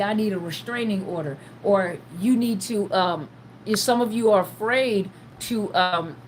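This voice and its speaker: A woman's voice: medium pitch, darkish tone, a little hiss or hum. A woman talking freely on a livestream as a therapist, with frequent fillers and restarts, sometimes acting out the inner voice of the person she describes. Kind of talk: unscripted livestream talk.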